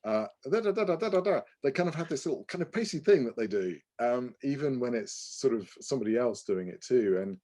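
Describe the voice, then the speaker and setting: A man co-hosting a podcast, talking casually in an animated, digressive way as he reviews things. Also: medium pitch, fast, hardly any pauses. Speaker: podcast co-host.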